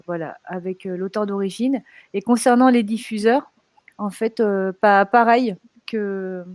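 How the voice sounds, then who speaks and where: A woman's voice: medium pitch, darkish tone, no room sound. A woman from animation production explaining her working process during a panel discussion.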